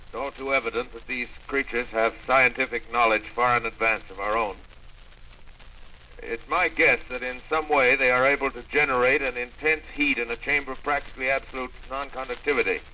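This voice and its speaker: A cautious old-time scientist. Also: male voice, mid-range voice, steady tone, pushed and bright. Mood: measured. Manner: measured, formal, hesitant. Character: learned male astronomy professor, cautious. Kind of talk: 1930s radio drama acting. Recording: scratchy old radio recording, distant.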